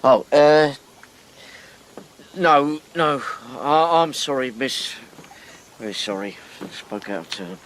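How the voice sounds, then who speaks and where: Bashful rough boatman, stammering, deferential, radio drama. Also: medium pitch, slow pace, pauses often, a bit bright. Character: shy rough working-class boatman.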